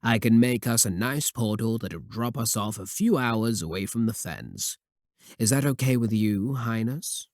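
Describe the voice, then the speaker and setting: A man's voice: medium pitch, unhurried, dry recording, studio quality, natural voice. A man playing a breezy, cheeky royal mage in a fantasy ASMR roleplay, talking in a casual, colloquial way. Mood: breezy, reassuring.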